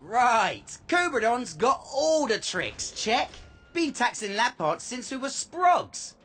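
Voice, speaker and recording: An actress voicing a cheeky, streetwise young thief in a video game, with a British accent, a husky voice and slangy, drawling, clipped phrases. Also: female voice, a little high, sing-song, slow pace, a bit bright, exaggerated voice. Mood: cheeky, cocky. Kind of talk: video game character acting.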